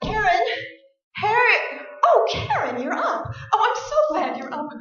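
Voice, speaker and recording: An actress as a frightened mother in a horror radio play, pleading in halting phrases. Her voice is very high and slow. It rings from a distance in an echoey hall. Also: wildly swooping pitch, fairly bright tone, highs cut off, soft background music, full character voice. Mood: tender, fearful. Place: stage performance in a hall.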